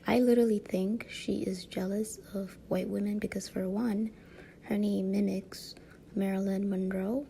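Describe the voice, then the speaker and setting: Gravelly, mellow-voiced woman vlogging. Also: medium pitch, steady tone, a little slow, slight background noise. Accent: light French. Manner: rambling, many fillers and tag questions; frequent soft laughs. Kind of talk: casual monologue to camera.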